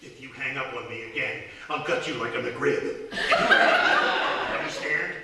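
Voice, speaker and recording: A man's voice: mid-range voice, a little slow, natural voice. A man playing a menacing, teasing horror-movie phone stalker in a live radio comedy, insistent and theatrical. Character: menacing male prank caller, playful horror-movie stalker. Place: small theatre stage, live. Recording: distant, echoey, noisy live theatre recording.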